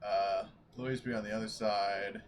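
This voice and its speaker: A man playing a tabletop roleplaying game, thinking aloud in casual, halting chat over faint background noise. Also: lowish voice, fairly even intonation.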